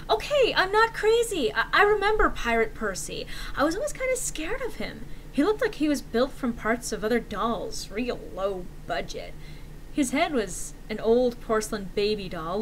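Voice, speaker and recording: Woman as an excitable, higher-pitched forum poster. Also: moderate pace, slight background noise, low-quality recording. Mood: excited recall. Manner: animated, conversational, emphatic. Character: excitable forum poster nostalgic about an eerie kids show. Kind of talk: dramatic reading of forum posts.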